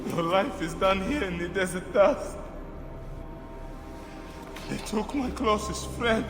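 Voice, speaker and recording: A man's voice: high voice, animated, slow pace, full character voice. A man playing an anguished mourner in a video game, impassioned and heavy with grief. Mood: grieving. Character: anguished man mourning his slain closest friend. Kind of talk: dramatic video game acting. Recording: echoey long reverb, music behind.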